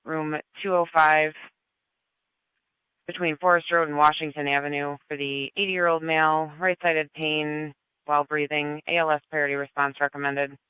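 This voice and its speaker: A man's voice: fairly high pitch, level tone, moderate pace, smooth, no echo. A man working as a fire and EMS dispatcher, reading formal, methodical announcements over two-way radio. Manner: methodical, repeats details. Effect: two-way radio transmission. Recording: dull band-limited scanner radio.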